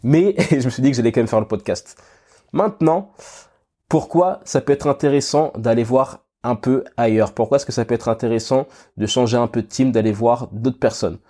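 A man hosting a solo podcast while he has a cold and sniffles now and then. His voice is mellow and dark in tone, at a middle pitch. He thinks aloud with fillers and restarts and keeps talking straight to the listener. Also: moderate pace. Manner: addresses listener directly with "tu vois". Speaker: podcast host. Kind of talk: casual solo podcast talk.

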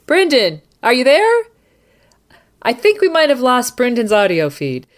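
Woman as lively, dramatic news anchor. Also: a little high, unhurried, a little hiss or hum. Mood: urgent. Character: dramatic female news anchor covering a battle. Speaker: host. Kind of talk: mock live news radio play.

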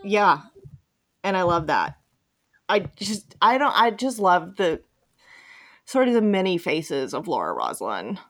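A woman with a slightly low voice hosts a relaxed, informal discussion. She talks appreciatively and conversationally, dropping quoted lines into her sentences. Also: measured pace, long pauses. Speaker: podcast host. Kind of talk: casual chat about fan fiction.

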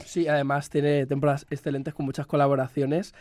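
A man's voice: a little high, level tone, a little fast, a little mellow, no echo. A man with an accent from Spain, bantering as a panelist in a cheeky, effusive way. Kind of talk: casual joking banter. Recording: dry, faint music behind. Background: faint music.

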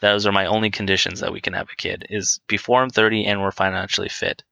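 A man in his late twenties talking candidly and casually as a guest, hesitant and halting, feeling his way through his answers. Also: sits low, flat delivery, vocal fry, bright, forceful tone, dull audio. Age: twenty-nine. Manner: hesitant, halting, self-conscious. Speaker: interview guest on a podcast.

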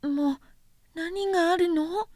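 A small storybook boy prince, as a woman narrator puts him on, speaks in a high voice. He is innocent and curious, with a persistent questioning way of talking. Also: takes it slowly, darkish tone, no room sound, studio quality.

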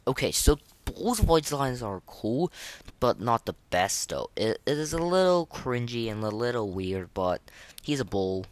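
Slow, halting casual gaming podcast host. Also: medium pitch, big pitch swings, dry recording. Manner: halting, repetitive, slangy enthusiasm. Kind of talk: casual gaming commentary, rating voice lines.

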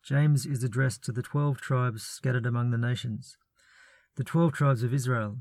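A man calmly talking through questions about the authorship of ancient letters, in a measured, reasoned way with a lightly wry touch. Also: mid-range voice, no echo. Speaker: biblical studies presenter. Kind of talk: measured scripted commentary.

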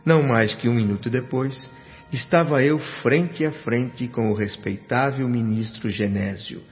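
An actor playing a humble, emotional doctor's spirit who narrates a Brazilian radio drama in an earnest, reflective, reverent way. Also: male voice, mid-range voice, unhurried, lightly acted. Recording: dull audio with background music.

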